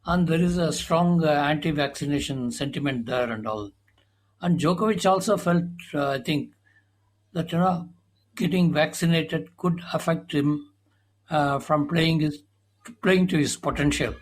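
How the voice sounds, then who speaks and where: A man's voice: on the high side, a little slow. A man with an Indian English accent, a sports journalist explaining at length, with many fillers. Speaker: senior sports journalist, guest. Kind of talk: conversational interview talk.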